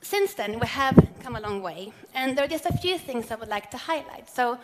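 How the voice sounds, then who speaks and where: A woman, giving a measured, earnest prepared keynote speech on stage. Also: on the high side, animated. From Sweden. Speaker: corporate sustainability speaker. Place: large event hall on stage. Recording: long hall reverb.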